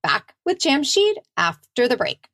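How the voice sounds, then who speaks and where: A woman co-hosting a podcast, excitable in casual chat, swearing freely and laughing. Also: high voice, measured pace, creaky, no room sound, studio quality. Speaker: podcast co-host.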